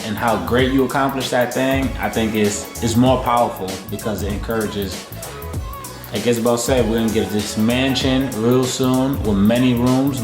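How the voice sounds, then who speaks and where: A man's voice: mid-range voice, roomy sound. A man co-hosting a casual couple Q&A at home, laid-back and joking with lots of slang. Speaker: co-host of family channel. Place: home room.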